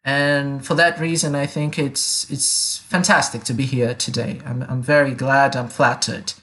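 A man's voice: mid-range voice, flat delivery, a little slow, pushed and bright. Brazilian-accented male linguist guest. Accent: Brazilian Portuguese. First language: Brazilian Portuguese. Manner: polite, hesitant, repeats words. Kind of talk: interview answers.

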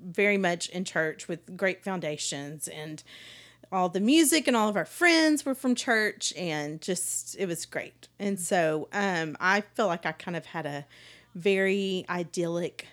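A woman's voice: lowish voice, unhurried, fairly bright tone. A middle-aged woman, casually telling her life story as a podcast guest. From East Texas, United States.

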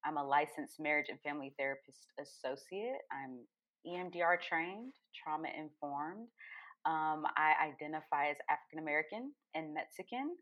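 A woman's voice: sits low, expressive, moderate pace. A woman, a therapist, talking casually as a podcast guest in a playful, informal way, on slightly muffled audio. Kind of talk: casual self-introduction.

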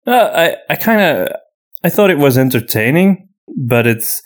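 A man talking casually and unscripted as a podcast host, often halting and repeating himself as he searches for words. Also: a little high, sing-song. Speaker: podcast host and story writer answering listener questions.

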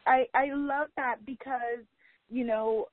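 A woman with a Guyanese American accent, hosting an interview in a reflective way, often repeating words and slowing down for emphasis. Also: high-pitched, steady tone, dry recording. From United States. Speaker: podcast host. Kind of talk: warm interview chat. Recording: tinny phone-like line, dry.

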